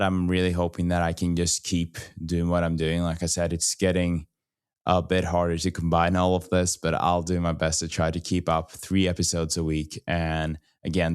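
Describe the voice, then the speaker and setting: Very deep-voiced Norwegian man hosting a podcast. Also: smooth, no room sound, clean studio sound. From Norway. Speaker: podcast host. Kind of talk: casual conversational podcast hosting.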